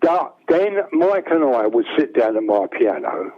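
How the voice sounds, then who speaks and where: An older man with a bass-baritone voice, telling rambling stories as a songwriter guest. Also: big pitch swings, measured pace, slightly rough. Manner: rambling anecdotes, acts out quoted dialogue. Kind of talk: casual storytelling. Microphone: telephone. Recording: tinny phone line.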